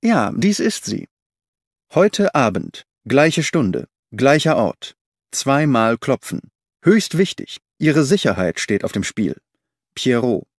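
A man doing a sharp, confident detective. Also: medium pitch, sing-song, takes it slowly, no echo, studio quality, exaggerated voice. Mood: ironic.

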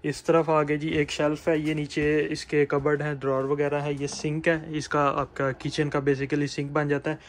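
A man's voice: fairly high pitch, little pitch movement, normal speed, hardly any pauses. A young man giving a casual, explanatory walk-through tour as a vlogger. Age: young adult. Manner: explanatory, talking while walking around. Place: outside by a camper, then inside its small interior. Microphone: phone at arm's length. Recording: handheld recording with clear background noise.

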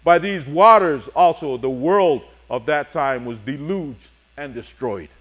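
Higher-pitched middle-aged man preaching. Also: measured pace, fairly bright tone, low-quality recording. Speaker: pastor. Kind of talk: sermon with scripture readings. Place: church sanctuary, speaking from a pulpit on stage.

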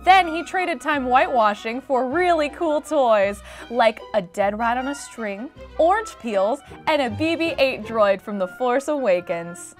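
A woman's voice: high voice, lively intonation, unhurried, few pauses, soft background music. A woman in her twenties with an American accent, reading a comedic storybook narration in an irreverent, sardonic, sweary way. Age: 20s. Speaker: host reading stories.

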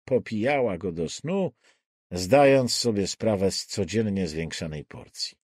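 A man narrates a dark thriller with measured, deliberate care. His voice sits fairly low and moves through a wide, expressive range of pitch. The pace is slow and dramatic. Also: breathy edge, no room sound, clean studio sound. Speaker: audiobook narrator.

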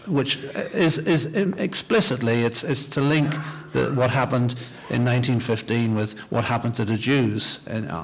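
A middle-aged man giving a halting academic answer from a panel into a table microphone in a lecture theatre. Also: mid-range voice, gravelly. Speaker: panel speaker.

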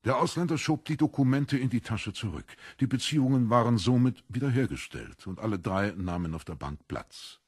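A man narrating a literary story from a script in a measured storytelling manner. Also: mid-range voice, expressive, a little mellow, husky, dry recording, clean studio sound.

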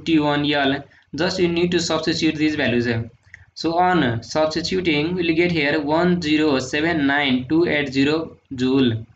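A man working through engineering numericals in a steady, methodical lecture, often adding "uh" and "sir". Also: fairly high pitch. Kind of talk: solving numericals on handwritten notes. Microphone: voice-over on screen recording. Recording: echoey room, dull lo-fi sound, faint noise.

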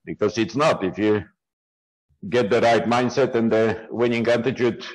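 A man in his fifties from Poland, speaking English with a Polish accent as an interview guest on a video call, with halting, non-native phrasing and frequent restarts. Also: medium pitch, fairly even intonation, poor audio. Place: small indoor room at home. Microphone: earbud headset on a video call.

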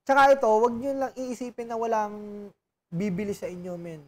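A man's voice: sits high, moderate pace. A man, a podcast guest, speaking earnestly and motivationally in a casual chat, often addressing viewers directly as guys, recorded in a barbershop studio.

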